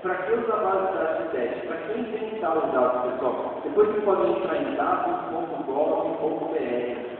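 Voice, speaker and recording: A man's voice: on the high side, expressive, normal speed, hardly any pauses. A Brazilian man giving an informal conference talk and live demo, speaking conversationally and often adding short tag questions to check for agreement. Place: stage of a large dark auditorium. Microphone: distant camera in the audience. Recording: echoey, noisy hall, tinny, from far off.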